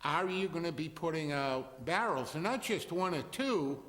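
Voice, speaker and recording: A man who is a neighbor speaking at a town zoning hearing, talking slowly and haltingly with many fillers, in a loud voice away from the microphone. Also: a little high, expressive. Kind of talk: public comment at town meeting. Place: town hall meeting room. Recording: reverberant hall, speaker off mic.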